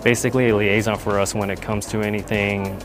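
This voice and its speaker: A man narrating an explanatory tour as an air traffic controller, in a measured, semi-scripted way. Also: lowish voice, level tone, over music.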